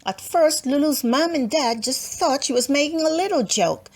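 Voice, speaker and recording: A woman's voice: high-pitched, animated, normal speed, darkish tone. A woman narrating a children's storybook expressively, with dramatic emphasis and playful asides. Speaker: storybook reader.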